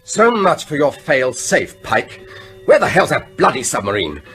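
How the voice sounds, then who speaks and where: An actor playing a blunt, exasperated Prime Minister with a British accent, sceptical and probing, in a radio drama. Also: male voice, mid-range voice, huge pitch swings, a little slow, a bit bright, faint music behind, exaggerated voice.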